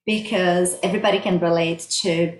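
A woman's voice: on the low side, fairly even intonation, normal speed, slight background noise. Brazilian woman researcher in an echoey room. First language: Portuguese. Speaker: guest, medical researcher. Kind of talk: interview answers, explaining research plainly. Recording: roomy, echoey sound.

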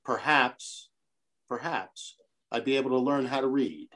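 Middle-aged man from Brazil, a podcast guest, earnest and measured, mid-pitched voice. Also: fairly bright tone. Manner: earnest, measured, speaks of his convictions. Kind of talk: conversational interview.

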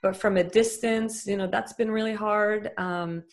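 Woman, thirties, from Lebanon, interview guest, bubbly, quick to laugh. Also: mid-range voice. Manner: bubbly, laughs often, animated. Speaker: guest, company founder. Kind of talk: casual interview over video call.

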